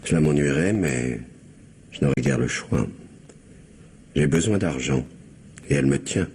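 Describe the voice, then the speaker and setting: A very deep-voiced, insinuating blackmailer. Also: male voice, expressive, darkish tone, full character voice. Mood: veiled threat. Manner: insinuating, falsely courteous, measured pauses. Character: smooth-talking, scheming male blackmailer, indebted and insinuating. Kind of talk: French radio mystery drama acting. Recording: faint background noise.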